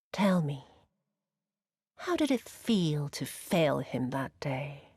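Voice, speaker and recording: An actress playing a cruel, vengeful woman in a video game, mocking and imperious, with a theatrical, drawn-out delivery that sinks into breathy whispers. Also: mid-range voice, no room sound, studio quality. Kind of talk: dramatic video game cutscene acting.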